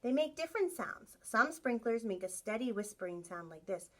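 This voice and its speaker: A woman reads a children's picture book aloud like a teacher with young listeners. Her voice is bright and fairly high, with very lively swings in pitch. She tells it expressively and plays up the sound words. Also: normal speed. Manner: animated, acts out sound effects, asks listeners questions. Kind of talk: expressive read-aloud storytelling.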